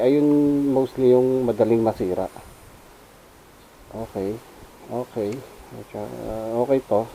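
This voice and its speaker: Filipino guy doing a laid-back gear review, slow talker, really mellow dark voice, mixes in english. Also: medium pitch, a little hiss or hum, low-quality recording. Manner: informal, switches between Tagalog and English. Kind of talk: casual unscripted product review.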